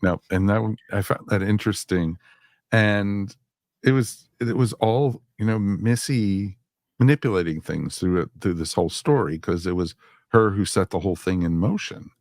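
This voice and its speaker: A man co-hosting a podcast, giving his casual thoughts in halting, looping phrases. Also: fairly low pitch, darkish tone, no room sound, studio quality. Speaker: podcast co-host. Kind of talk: casual, conversational review.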